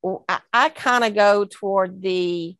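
A woman's voice: medium pitch, a little slow, fairly bright tone, no room sound. Chatty woman on a dry video call. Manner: chatty, thinks aloud with rhetorical questions. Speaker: breeder panelist. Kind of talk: video call panel discussion.

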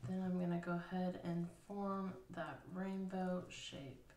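A woman's voice: lowish voice, fairly even intonation, unhurried, mellow, a little hiss or hum. A woman casually explaining a craft step by step at a table, thinking aloud with fillers. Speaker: craft instructor. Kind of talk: casual hands-on craft tutorial. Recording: roomy, slightly distant.

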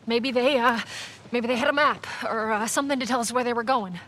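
A woman's voice: fairly high pitch, a little fast, a bit bright, natural voice. An actress playing a tough, commanding middle-aged woman smuggler in a video game, blunt and urgent in her delivery. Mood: shaken. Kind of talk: cinematic video game acting. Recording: faint background noise.

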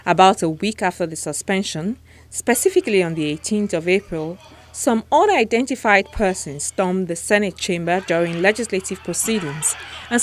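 A woman with a Nigerian accent presents a scripted news report. Her voice sits a little lower than average. She delivers it as a television host narrating a story. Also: slight background noise.

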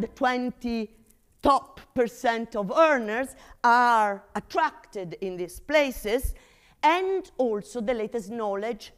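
A middle-aged woman, a non-native English speaker, giving an academic conference lecture in an emphatic, explanatory way with frequent check-in tags. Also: on the high side. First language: not English. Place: university lecture room.

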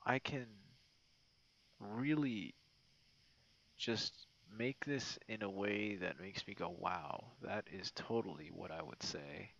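A man talks at a very slow pace with a lot of creaky fry in his voice, rambling casually and stopping and starting as if chatting late at night. The audio is slightly dull and muffled. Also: lowish voice, a bit bright. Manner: rambling, halting, informal. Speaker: ASMR roleplay creator.

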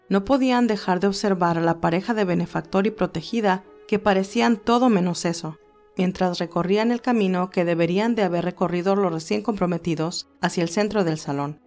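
A woman's voice: on the low side, a little mellow. A woman reading scripted story narration in Spanish, telling a tale. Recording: music behind the voice. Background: classical music.

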